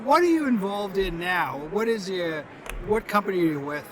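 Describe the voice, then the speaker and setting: A man hosting a podcast, speaking in a halting way with frequent fillers. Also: sits high, lively intonation, a crowd in the background. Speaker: podcast host. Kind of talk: casual podcast interview.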